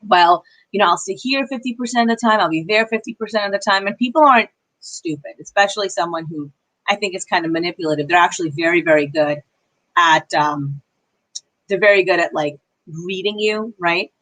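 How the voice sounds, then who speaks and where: A young woman giving casual, opinionated commentary on a livestream, breaking off to answer her chat with quick apologies. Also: fairly low pitch, fairly bright tone, poor audio. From Pakistani heritage. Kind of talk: casual live-stream commentary reading chat.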